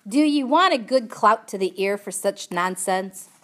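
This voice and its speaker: A gruff, mocking country uncle from a storybook, acted out by a woman. He speaks in rough rustic phrases with loud, scornful force. His manner is cruel and bullying. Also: mid-range voice, lively intonation, moderate pace, lightly acted. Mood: threatening.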